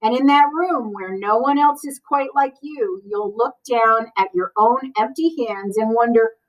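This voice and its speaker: A woman with a high voice reads a picture book aloud in an expressive way. She speaks directly to children like a school librarian, adding short asides. The microphone sits some distance from her. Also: a little slow, highs cut off.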